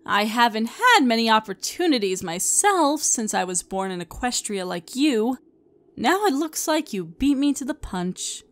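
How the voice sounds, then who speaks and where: A woman's voice: fairly high pitch, big pitch swings, a little slow, a bit bright. A woman as a nonchalant young pony princess. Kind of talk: fan fiction audio drama.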